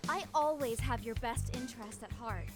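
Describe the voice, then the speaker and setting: A woman's voice: a little high, moderate pace, over music, lightly acted. A woman playing a well-meaning, exasperated young friend who defends herself in a visual novel game. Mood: defensive.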